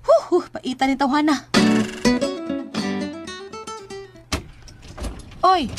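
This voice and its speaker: A woman's voice: sits high, moderate pace, over loud music, close to an everyday voice. A woman playing a long-suffering, nagging wife with an exasperated manner in a comic drama skit. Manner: scolding, exasperated. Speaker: character in a comedy skit. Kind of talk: exaggerated comic Filipino drama acting.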